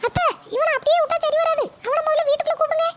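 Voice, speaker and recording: A woman voices a furious village mother in a comedy skit, speaking. Her voice is extremely high and she rattles off scolding fast. Loud noise and clicks sit under the recording. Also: fairly even intonation. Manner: rapid shrill scolding. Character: angry mother, daughter-in-law, loud and quick to blame.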